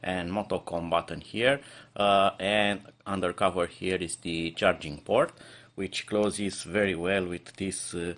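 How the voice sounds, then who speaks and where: A man's voice: low voice, steady tone, a little slow, fairly bright tone. A man giving an unscripted product review, explaining features step by step with hesitant, slightly non-native phrasing.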